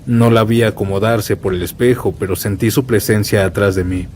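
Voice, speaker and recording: A man's voice: on the low side, normal speed. A man narrating scripted first-person horror stories in a solemn, dramatic way, as a storyteller. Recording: steady rain and thunder noise bed. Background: rainstorm with thunder.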